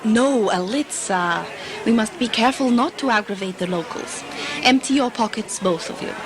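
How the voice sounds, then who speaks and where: A woman playing a commanding, wise and mysterious leader, speaking gravely and with authority in a sci-fi audio drama. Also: on the high side, moderate pace, close to an everyday voice. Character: commanding, wise mysterious lady leading two companions. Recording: noisy outdoor amateur recording with crowd.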